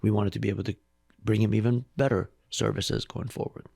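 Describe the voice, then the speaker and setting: A man's voice: on the low side, steady tone, a little fast, vocal fry, dark tone, no echo. Middle-aged guy from iran, earnest and thoughtful, dry close sound. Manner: earnest, reflective, speaks with conviction. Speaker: hospital president, guest. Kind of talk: interview, personal storytelling.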